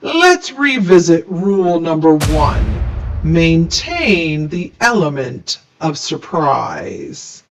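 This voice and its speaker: A woman voicing a stern, formal male monster judge in a children's storybook, speaking in a pompous, deliberate way. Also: deep voice, sing-song, a little hiss or hum, full character voice. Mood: instructive.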